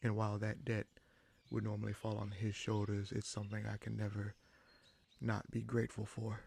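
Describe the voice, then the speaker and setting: A man playing an easygoing, protective bandit leader in a fantasy roleplay audio drama, speaking in a halting, conversational way with a gravelly voice. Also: on the low side, monotone, a little mellow. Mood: sincere, grateful. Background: birdsong, forest ambience.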